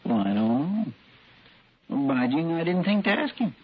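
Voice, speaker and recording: Rustic deputy, husky, fairly high, earnest, dull old radio sound. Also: male voice, very animated, moderate pace. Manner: folksy rustic grammar. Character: folksy, simple, earnest frontier deputy, grown man. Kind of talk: 1950s western radio drama acting.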